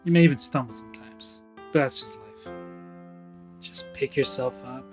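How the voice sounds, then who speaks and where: A man playing a world-weary investigator in a radio drama, speaking in a grave, deliberate, dramatic way. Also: mid-range voice, fairly even intonation, faint music behind, natural voice. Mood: bitter, resolute.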